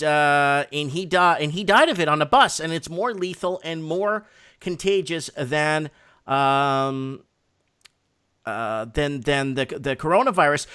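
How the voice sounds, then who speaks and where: A man with a slightly high, bright and forceful voice hosts a casual live talk show. He speaks bluntly and puts firm emphasis on his points. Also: measured pace, clean tone. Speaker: host of a live call-in night show.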